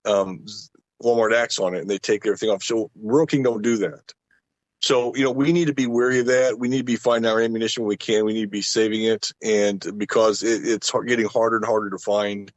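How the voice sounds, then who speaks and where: A man's voice: lowish voice, no echo. A middle-aged man giving his opinions casually as a panel guest, with a folksy way of talking, on lo-fi audio.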